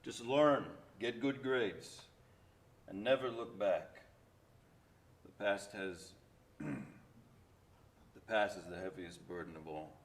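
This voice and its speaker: A man's voice: on the low side, slow pace, pauses often. A man playing a weary, guarded immigrant father, terse and admonishing, in a staged play reading. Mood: weary, firm.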